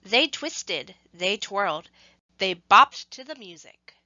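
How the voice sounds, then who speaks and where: A woman reading a scripted story to children, with expressive, measured phrasing and emphasis on action words. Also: medium pitch, dry recording, lo-fi sound.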